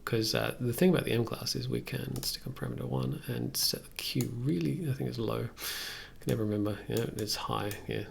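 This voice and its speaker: Young male streamer, strong vocal fry, mid pitch, hesitant casual explainer, slight background noise. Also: lively intonation, fairly quick, few pauses. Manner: tentative, thinking aloud. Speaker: music production livestreamer. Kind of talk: casual tutorial chat while working. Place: indoors at a computer desk.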